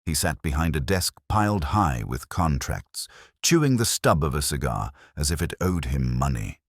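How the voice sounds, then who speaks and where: Very deep-voiced male detective story narrator. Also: very animated, normal speed, no echo, studio quality. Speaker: audiobook narrator. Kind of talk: scripted first-person detective story narration.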